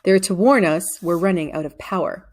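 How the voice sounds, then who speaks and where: A woman's voice: fairly low pitch, normal speed, a little mellow, lightly acted. A woman voicing a confident, insistent schoolboy in a children's story, earnest and assertive. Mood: serious. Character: confident, insistent schoolboy who takes charge.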